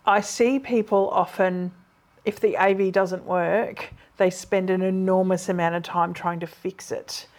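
A woman's voice: medium pitch, steady tone, unhurried, a little mellow. A woman speaking as a podcast guest, giving direct, emphatic practical advice in conversation.

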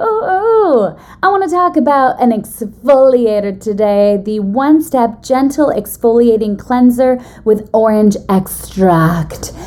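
A woman hosting a podcast in a casual, enthusiastic and expressive conversational style. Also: medium pitch. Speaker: podcast host. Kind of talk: casual chat and conversational sponsor read.

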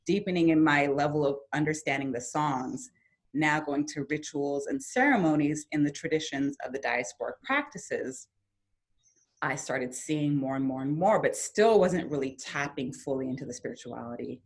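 Podcast guest, female, low register, earnest storyteller who livens up on quoted lines. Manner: reflective, earnest, lively when quoting her younger self. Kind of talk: personal storytelling in a conversation.

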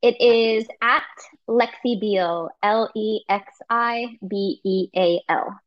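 A woman guest chats eagerly on a podcast, filling her sentences with likes and ums. Her audio is slightly dull and muffled, dry and close, and her pitch sits mid-range. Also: slow, dry recording. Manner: warm, enthusiastic, uses "like" and "um" a lot. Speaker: guest, sound healer. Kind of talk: casual podcast interview.

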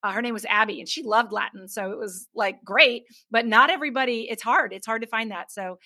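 Middle aged lady podcast host, bright forward voice a bit on the high side, casual, recaps stuff. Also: fairly quick. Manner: affirming, recapping what the guest says. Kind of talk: casual interview chat.

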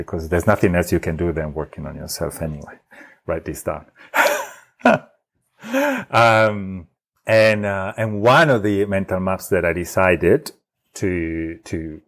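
Low-voiced man with an Italian accent. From Italy. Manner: halting, many restarts and fillers, laughs often. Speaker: podcast guest. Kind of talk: casual storytelling.

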